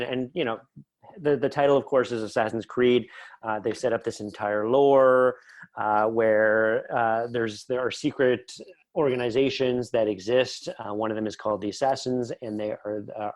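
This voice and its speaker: A man being interviewed as a writer, explaining his work casually and enthusiastically, with frequent hesitations. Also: lowish voice. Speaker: podcast guest, writer.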